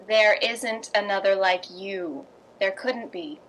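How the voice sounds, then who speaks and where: A woman's voice: mid-range voice, slow pace, fairly bright tone, slight background noise, low-quality recording. A woman playing a kindly, worldly playwright's wife in a 1950s radio drama. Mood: friendly, brisk.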